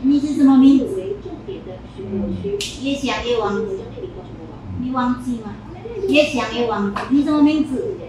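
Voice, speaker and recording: A woman acting as a hypnotist, slowly repeating questions over and over. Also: on the high side. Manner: slow, repetitive questioning. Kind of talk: hypnosis session in a clip. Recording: echoey distant clip with crowd noise.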